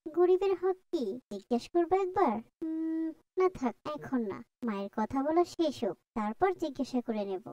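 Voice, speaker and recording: A woman's voice: sits high, animated, moderate pace, dark tone, no echo. A woman voicing a curious, polite little girl in an Islamic moral cartoon. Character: curious, polite little girl asking her mother questions.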